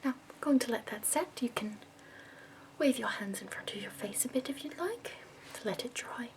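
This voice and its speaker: A woman in her twenties voicing a prim, fussy, upbeat woman makeup escort from the capital, in a soft whispered ASMR roleplay. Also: on the high side, lively intonation, normal speed. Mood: prim, soothing.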